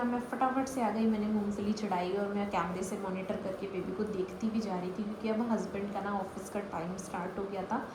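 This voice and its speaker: A young woman from eastern Uttar Pradesh chatting casually through an audio diary of her day, mixing in English words. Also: mid-range voice. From eastern Uttar Pradesh, India. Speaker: vlogger. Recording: roomy echo, clear background noise.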